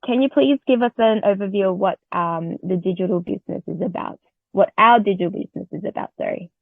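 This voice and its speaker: A woman hosting a casual interview in an excitable, giggly manner. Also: mid-range voice, quick pace, gravelly, dry recording. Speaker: interview host. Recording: dull lo-fi audio, music behind.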